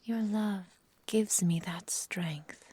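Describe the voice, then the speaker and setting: A woman playing an adoring, possessive immortal forest witch in an intimate ASMR roleplay, with soft whispery speech, tender endearments and formal storybook phrasing. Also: medium pitch, drawn-out words, a little hiss or hum. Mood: devoted, resolute. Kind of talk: intimate ASMR roleplay, slow dramatic delivery.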